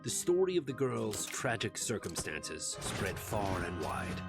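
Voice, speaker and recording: A man playing a grave, wise storyteller, narrating a fairy tale in a measured, solemn manner. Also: fairly low pitch, sing-song, gravelly, full character voice. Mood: solemn storytelling. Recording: music behind the voice.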